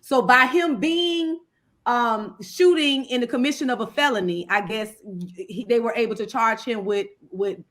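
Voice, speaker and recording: High-voiced woman explaining on a casual panel. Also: moderate pace, a bit bright. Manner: explanatory, street slang and swearing. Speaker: talk show panelist.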